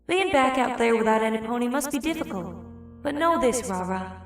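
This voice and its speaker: A woman playing a kind, supportive pony friend, reading her letter warmly and encouragingly in a fan audio story. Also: fairly high pitch, animated, moderate pace, soft background music, lightly acted. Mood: tender, encouraging. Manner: warm, encouraging. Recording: very echoey voice, slightly distant.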